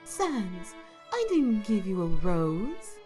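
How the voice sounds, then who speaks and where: A woman playing a kind, naive, motherly goat-woman goddess in a comic dub, speaking gently and formally. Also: medium pitch, very animated, slow pace, soft background music. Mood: tender. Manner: gentle, formal, careful phrasing. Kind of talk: fan comic dub voice acting.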